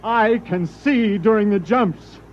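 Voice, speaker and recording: Blinded lieutenant in 1950s space serial: unhurried, fervent, stubborn, on dulled, lightly noisy tape. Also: male voice, sits high. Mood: fervent, straining. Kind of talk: 1950s radio drama acting. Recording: muffled old radio broadcast, faint noise.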